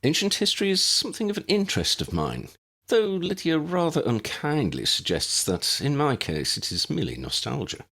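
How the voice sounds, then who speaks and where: A man voicing a courteous, pedantic old gentleman scholar in an audiobook, wordy, formal and precise. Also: a little high, wildly swooping pitch, moderate pace, gravelly, pitched above the performer's own voice, exaggerated voice. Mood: dryly pedantic.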